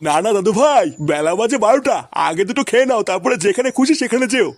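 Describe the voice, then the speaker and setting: Man doing a high-pitched old cartoon grandpa. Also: lively intonation, fast, no room sound. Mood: coaxing. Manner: fussing, grumbling. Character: old village grandfather, fussy and easily scandalized. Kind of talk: comic cartoon dialogue.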